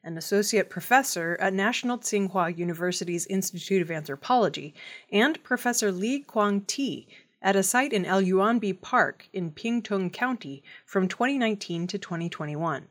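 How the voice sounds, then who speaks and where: A woman's voice: medium pitch, lively intonation, normal speed. A woman reading scripted news reports as a narrator. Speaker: news reader.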